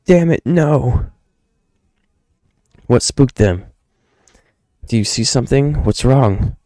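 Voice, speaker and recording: A man's voice: mid-range voice, expressive, slow, dark tone, no echo, studio quality, voice pushed up. A man voicing a desperate prospector father who begs for help in an urgent, imploring way. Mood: alarmed, insistent.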